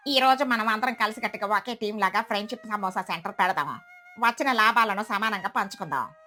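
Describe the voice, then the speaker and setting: A woman voicing a confident, generous crow who reassures friends warmly in a cartoon story. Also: mid-range voice, brisk, natural voice. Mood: reassuring. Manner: warm, reassuring. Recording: music behind the voice.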